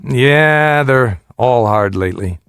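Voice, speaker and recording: An actor in a radio drama playing a weary middle-aged father, gentle but guarded and measured in his delivery. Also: medium pitch, expressive, smooth, dry recording, clean studio sound, faint music behind. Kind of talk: naturalistic radio drama acting.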